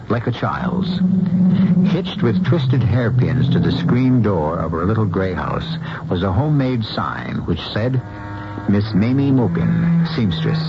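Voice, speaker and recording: Guy hosting old radio mystery show, theatrical, very animated low voice, loud music behind. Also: highs cut off. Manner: dramatic, rhetorical, deliberate. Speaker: radio drama host and narrator. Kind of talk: theatrical scripted narration.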